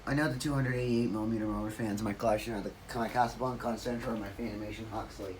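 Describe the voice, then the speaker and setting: A man with a raspy voice rambling through a casual, off-the-cuff demonstration, correcting himself and losing his train of thought. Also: lowish voice, fairly even intonation, rapid-fire, far from the mic, noisy background. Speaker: ceiling fan collector demonstrating a fan. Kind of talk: casual off-the-cuff video narration.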